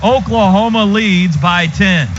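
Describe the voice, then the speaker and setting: High-voiced American man calling football. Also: slow. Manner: excitable, clipped running call of each play. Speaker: football play-by-play radio announcer. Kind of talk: live sports commentary. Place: stadium radio booth. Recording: noisy, dull stadium radio broadcast.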